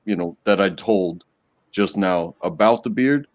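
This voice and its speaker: Casting: halting, hesitant man with a lowish voice, live stream chatter. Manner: hesitant, many fillers and restarts. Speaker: stream guest. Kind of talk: casual chat.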